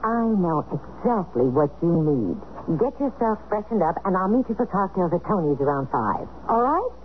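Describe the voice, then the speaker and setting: A woman's voice: fairly low pitch, big pitch swings, normal speed. An actress playing a sly, flirtatious sophisticated woman in a 1970s radio drama, arch and needling in manner. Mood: catty, brisk. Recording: muffled, phone-like, noisy old radio recording.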